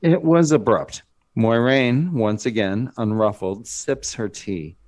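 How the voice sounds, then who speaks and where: A man's voice: mid-range voice, slow pace, a little mellow. A man narrating stage directions as scripted narration in a screenplay table read.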